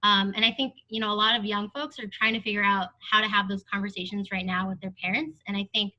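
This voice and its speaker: Young woman panelist, earnest and thoughtful; bright tone on muffled audio. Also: medium pitch, fairly even intonation, fast talker. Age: young adult. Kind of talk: panel discussion.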